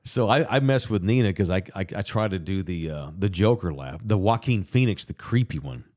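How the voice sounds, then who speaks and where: Middle-aged dude on a podcast, laughs a lot, kinda low voice. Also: male voice, a little fast. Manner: animated, laughs often, jokey. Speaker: podcast co-host. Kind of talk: casual podcast chat. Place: sports bar studio.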